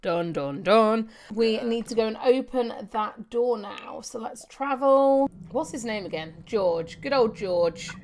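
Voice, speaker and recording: A woman with a fairly high voice hosts a casual game walkthrough. She chats to herself as she plays and reads prompts aloud. There is some noise and clicking behind her voice. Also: lively intonation, takes it slowly, hardly any pauses, darkish tone. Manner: chatty, talks to herself while playing. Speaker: video game walkthrough host. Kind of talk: casual gameplay commentary mixed with reading on-screen text.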